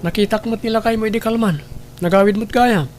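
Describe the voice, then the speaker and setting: A man's voice: high-pitched, fast talker, faint music behind, lightly acted. A man with an Ilocano accent playing a friendly, inquisitive former boyfriend in an audio drama, chatty and sympathetic. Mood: concerned.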